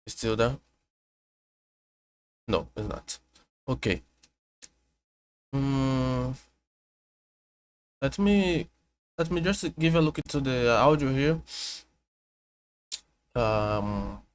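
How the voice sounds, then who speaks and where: A man hosts a casual vtuber stream at home. He speaks slowly at a middle pitch, often stopping to restart or correct his words as he thinks aloud. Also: expressive, clear, resonant tone. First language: Portuguese. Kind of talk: casual reaction stream chat. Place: home room with air conditioner hum.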